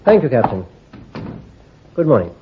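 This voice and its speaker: An actor playing a brisk senior army intelligence officer, crisp and drily ironic. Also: male voice, mid-range voice, big pitch swings, slight background noise, close to an everyday voice. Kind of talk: radio drama acting. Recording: tinny phone-like radio recording.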